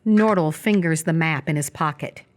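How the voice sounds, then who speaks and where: A woman with a slightly low voice narrates a radio play. She reads scripted action as the story's narrator, in present-tense descriptive prose. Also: moderate pace. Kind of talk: scripted narration of a screenplay for live radio theatre.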